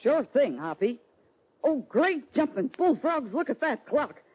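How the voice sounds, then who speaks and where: An actress playing a brash, stubborn, folksy Western businesswoman in an old radio western, with a husky voice and blunt slangy talk. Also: high-pitched, big pitch swings, measured pace, full character voice. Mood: excited, hurried. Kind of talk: 1940s-50s radio western acting. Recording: dull lo-fi old radio recording.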